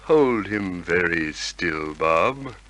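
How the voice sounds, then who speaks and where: Menacing old radio gangster, low voice w/ lots of swing, sly bully. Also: male voice, animated, very slow, fairly bright tone, full character voice. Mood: threatening. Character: menacing, smooth-talking male gangster, coolly bullying. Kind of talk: old-time radio crime drama acting.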